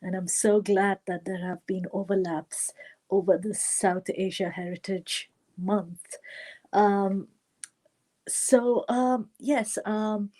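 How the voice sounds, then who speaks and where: A woman from western India with an Indian accent, a storyteller introducing a tale online in measured phrases with long pauses. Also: mid-range voice, steady tone, mellow.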